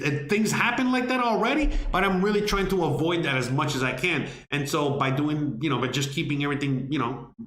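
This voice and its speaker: A man's voice: a little high, fairly bright tone. A man from Miami hosting a live question-and-answer stream, blunt and profane, rambling with lots of "you know what I mean.". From Miami, United States. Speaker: livestream host answering viewer questions. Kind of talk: casual live Q&A chat. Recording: roomy echo.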